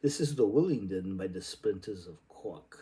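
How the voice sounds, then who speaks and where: A man's voice: sits low, lively intonation, normal speed, warm tone. A man reading literary prose aloud in a theatrical, playful and exclamatory way. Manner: playful, exclamatory delivery with sudden outbursts. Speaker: reader.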